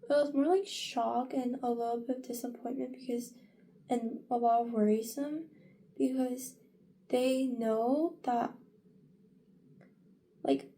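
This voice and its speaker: A woman's voice: fairly high pitch, mellow, a little hiss or hum. A young woman in her late teens chatting casually in a get-ready-with-me vlog, rambling with many fillers and restarts. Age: young adult. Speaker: vlogger.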